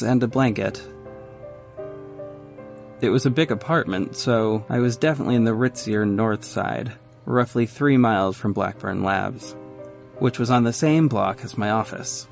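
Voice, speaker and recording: A man's voice: mid-range voice, vocal fry. A man playing a wry, bewildered masked vigilante detective, narrating his own story in an audio drama with dry self-questioning. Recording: faint music under the voice.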